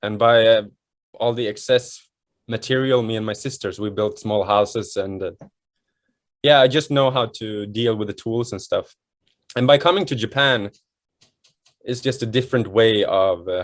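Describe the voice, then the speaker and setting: A young Swedish man talks easily as a guest, with a Swedish accent and many fillers. His voice sits low and sounds dry and close. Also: normal speed, dry recording. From Sweden. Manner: relaxed, chatty, many fillers and restarts. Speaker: guest, model and home renovator. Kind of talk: casual interview chat.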